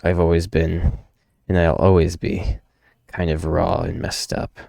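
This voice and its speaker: A gruff, haunted loner tells a grim story in the first person, read by a man. The voice is deep and dark with a creaky edge, brooding and world-weary. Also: flat delivery, unhurried, dry recording, studio quality, heavily acted. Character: gruff, haunted loner brawler narrating his grim past. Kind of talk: dramatic first-person comic narration.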